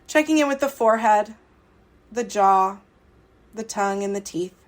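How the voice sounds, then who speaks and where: A woman leading a guided relaxation meditation, speaking soothingly and unhurriedly in short phrases with long pauses. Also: medium pitch. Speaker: meditation guide, yoga teacher. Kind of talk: guided yoga nidra meditation.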